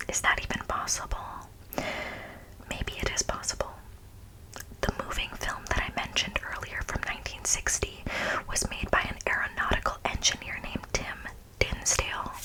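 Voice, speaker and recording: A woman hosting whispered ASMR in a breathy, unvoiced whisper, speaking informally and emphatically and repeating phrases. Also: moderate pace, rough recording. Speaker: ASMR video host. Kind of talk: whispered ASMR, part reading, part casual commentary.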